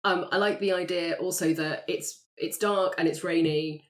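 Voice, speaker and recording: A woman's voice: on the low side, fairly even intonation, normal speed. A woman player improvising scene description in a roleplay podcast, informal and playful, thinking aloud.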